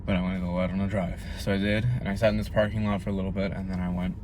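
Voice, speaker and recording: A young man recording a personal video diary, speaking quietly and haltingly with long pauses, numb with grief. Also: sits low, level tone, noisy background. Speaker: vlogger.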